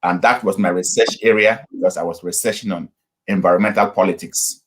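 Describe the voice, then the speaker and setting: Low-voiced husky man from Nigeria. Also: fairly even intonation, a bit bright. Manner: unhurried, with frequent fillers and restarts. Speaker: pastor and guest. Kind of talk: casual interview storytelling. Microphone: video call.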